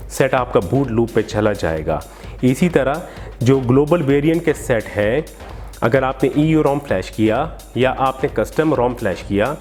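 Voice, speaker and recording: A man's voice: medium pitch, darkish tone. A man hosting an instructional phone tutorial, explaining steps to viewers in a polite, formal way. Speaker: tech tutorial host. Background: background music.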